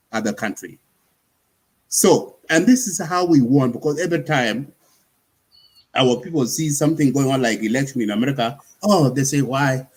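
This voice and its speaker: A husky-voiced man from talks through his points in a relaxed panel discussion. He explains patiently, repeating himself and asking "you see?" as he goes. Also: a little high, poor audio. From South Sudan.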